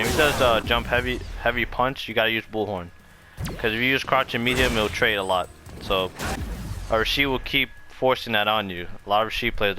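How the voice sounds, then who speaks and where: A man's voice: on the low side, pushed and bright, a little hiss or hum. A man giving a casual, off-the-cuff gaming tutorial, explaining loosely and circling back over his points. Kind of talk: casual off-the-cuff fighting game tutorial commentary. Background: music behind the voice.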